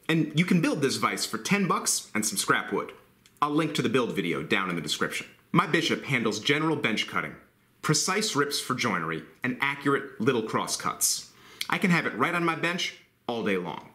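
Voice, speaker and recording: A man in his forties reviews hand saws straight to camera with blunt, joking enthusiasm and a bright, animated voice. His words ring a little in a roomy workshop, picked up by a camera mic at mid distance. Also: mid-range voice. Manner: enthusiastic, blunt, jokey asides. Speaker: woodworking channel host. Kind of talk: scripted tool review to camera. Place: woodworking shop.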